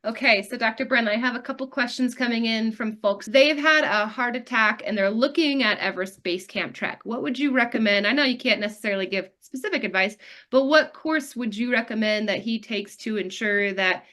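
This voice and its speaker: A woman's voice: on the high side, brisk, bright, forceful tone. A woman moderating a webinar over a video call, casual and upbeat, relaying audience questions. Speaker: webinar host.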